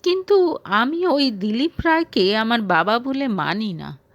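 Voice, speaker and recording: A man's voice: very high voice, expressive, measured pace, fairly bright tone, dry recording, muffled recording, heavily acted. A woman voicing a distraught young male boss in an audio story, hurt, stubborn and pleading like a child. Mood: bitter, resolute.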